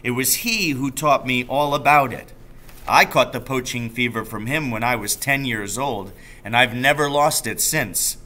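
A man's voice: medium pitch, moderate pace, bright, forceful tone, natural voice. A man voicing an enthusiastic, roguish father in a storybook, telling tales with emphatic relish. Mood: proud, reminiscing.

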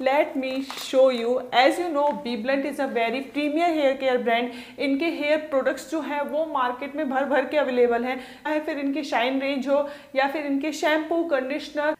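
A young woman hosting a beauty channel, chatting through a sponsored hair product review and mixing English into Hindi. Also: sits high. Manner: chatty, mixes English into Hindi, reads product names slowly. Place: indoor room with lamp and plants. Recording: echoey room.

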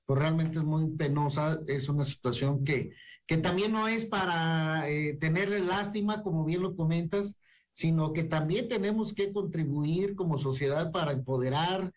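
Man hosting a radio interview, slightly higher voice, measured and reflective. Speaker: radio talk show host.

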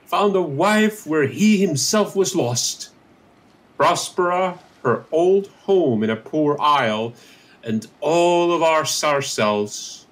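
A man's voice: fairly high pitch, fairly bright tone. A man playing a pious, kindly old courtier in a Shakespearean radio play, declaiming verse with fervor. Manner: fervent, declamatory verse delivery. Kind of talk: Shakespearean radio drama acting.

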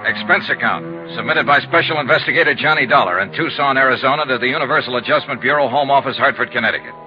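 A man acts a hard-boiled American insurance investigator in a 1950s radio serial. His delivery is clipped and matter-of-fact, at a middling male pitch. The sound is dulled vintage radio, with music clearly underneath. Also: fast, lo-fi sound, natural voice. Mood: dry, narrating. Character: tough, dry freelance insurance investigator narrating his case. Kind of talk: 1950s radio detective acting and narration.

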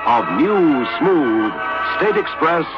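A man's voice: on the high side, very animated, slow. A man reading a commercial as an announcer in an old-time radio style. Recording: muffled old radio, loud music behind.

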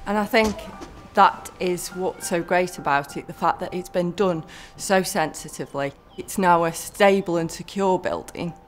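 Low-voiced woman, factory manager, earnest, soft music beneath. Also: moderate pace. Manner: earnest, occasional restarts. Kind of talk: documentary interview.

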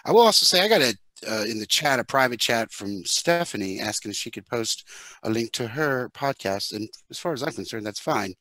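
A man in his fifties talking casually as a producer on a panel, halting and emphatic, on a headset in a video call from home. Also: mid-range voice, lively intonation, pushed and bright, no room sound. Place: living room at home, indoors.

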